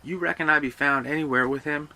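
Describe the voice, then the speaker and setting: A man's voice: fairly high pitch, steady tone, fast, sharp tone, voice pushed up. A man voicing a teasing young servant boy who talks in a drawling Southern dialect. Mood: wheedling.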